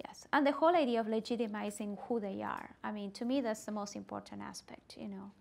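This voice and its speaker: A woman's voice: medium pitch, quick pace, vocal fry. A middle-aged woman presenting at a teacher workshop, talking animatedly and with emphasis in a meeting room. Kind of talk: workshop discussion.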